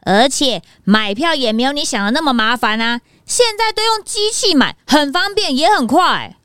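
A woman voicing a curious, sharp young detective's sidekick with a childlike manner in a children's audio drama. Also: high-pitched, animated, moderate pace, no echo, studio quality. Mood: helpful, practical.

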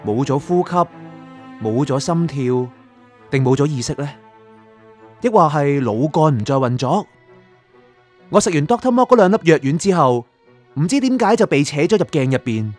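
A man's voice: fairly high pitch, a little mellow. A man with a Hong Kong Cantonese accent acting a bewildered, naive young man in a radio drama, speaking hesitantly. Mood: pensive narration. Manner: hesitant, stammering. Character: bewildered young man lost in a spirit world, pleading and naive. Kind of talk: radio drama acting with first-person narration. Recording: music behind.

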